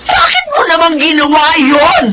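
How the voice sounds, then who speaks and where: A woman's voice: very high-pitched, wildly swooping pitch, normal speed, shouting, very noisy, heavily acted. A scolding, exasperated mother. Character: strict worried mother of grown children. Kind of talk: classic radio drama acting.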